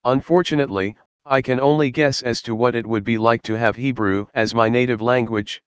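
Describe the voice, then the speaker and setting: A man reading a scripted Bible study in a measured, earnest, explanatory way. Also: mid-range voice, steady tone, clean tone, dry recording, dull audio. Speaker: Bible teacher.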